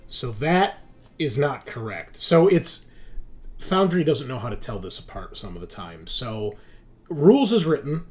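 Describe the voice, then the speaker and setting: A man's voice: mid-range voice, sing-song, unhurried, soft background music. A man acting as game master, explaining rules and narrating a tabletop roleplaying game in a conversational, explanatory way.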